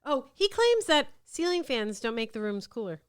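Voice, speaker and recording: A woman's voice: a little high, very animated, moderate pace, smooth, no echo, studio quality. A woman chatting casually in a podcast group, teasing and playful, with some swearing. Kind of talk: casual chat. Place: small podcast studio.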